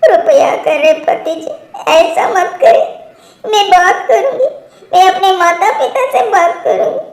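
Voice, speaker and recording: A woman's voice: very high voice, measured pace, gravelly, voice pushed up, full character voice. Woman as a meek young crow bride, echoey. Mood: pleading. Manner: respectful, pleading. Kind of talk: Hindi cartoon story character acting.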